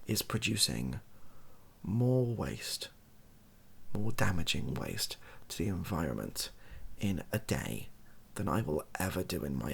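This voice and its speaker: A British man with a very low, gravelly voice speaks softly, sometimes sinking almost to a whisper. He rambles off the cuff as a video host, wry and self-deprecating, with pitch that swings around freely. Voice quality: soft, breathy, lapsing into near-whisper. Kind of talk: softly spoken improvised rambling monologue.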